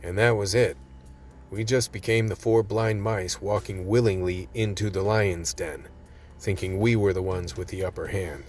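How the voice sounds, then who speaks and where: An actor playing a wry vice team member, narrating a radio play colloquially. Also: male voice, low voice, steady tone, normal speed, a little mellow, close to an everyday voice. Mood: wry recounting. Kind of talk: scripted in-character narration.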